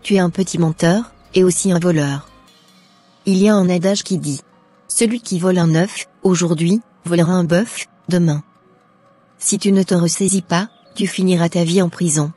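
A stern, fed-up cartoon mother acted by a woman speaks in a scolding, deliberate way. Her voice is mellow and husky, with faint music playing behind her. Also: lowish voice, no room sound. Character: stern, exasperated mother of a wayward son. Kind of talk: scripted cartoon dialogue.

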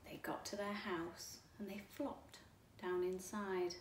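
A woman in her forties reading a rhyming children's storybook aloud with expressive, deliberate phrasing. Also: medium pitch. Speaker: storytime reader. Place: living room, indoors. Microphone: camera a few feet away. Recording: echoey room, distant mic, faint noise.

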